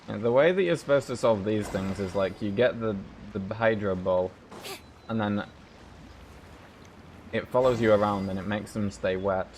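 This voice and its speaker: A man's voice: fairly low pitch, normal speed, pauses often, darkish tone, slight background noise. A man giving casual, sweary gameplay commentary as a streamer. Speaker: game streamer.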